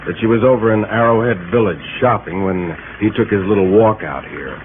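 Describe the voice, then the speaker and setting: A man's voice: lowish voice, brisk, close to an everyday voice. Actor as an insistent lawman on tinny old radio. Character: confident, insistent small-town male lawman. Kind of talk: 1950s radio drama acting. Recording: narrow, noisy old radio broadcast.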